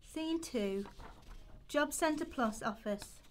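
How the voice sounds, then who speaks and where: Female reader, slightly high pitch, scripted radio play rehearsal. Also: lively intonation, takes it slowly, a little hiss or hum. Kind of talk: scripted reading aloud in rehearsal.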